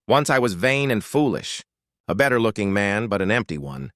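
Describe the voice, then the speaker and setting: A British man voicing a reclusive, gentle baron who speaks in a quiet, measured, wry and resigned way. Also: lowish voice, big pitch swings, no echo, studio quality. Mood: grave resignation.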